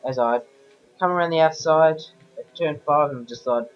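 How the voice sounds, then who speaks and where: A man with a croaky voice from a sore throat, giving rambling, self-correcting casual commentary over racing game footage. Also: fairly high pitch, normal speed. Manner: rambling, keeps correcting himself. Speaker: gameplay commentator. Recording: dull lo-fi voice-over with game music behind.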